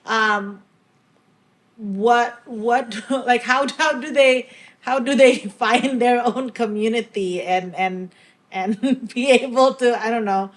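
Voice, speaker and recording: A woman hosting a casual podcast conversation, chatty and quick to laugh, with short backchannels. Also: fairly high pitch. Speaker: podcast host.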